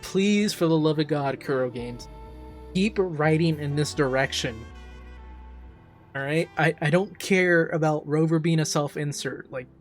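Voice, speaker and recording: A man's voice: a little high, a little slow. A man giving casual, emphatic, enthusiastic commentary as a game reaction streamer. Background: faint game music.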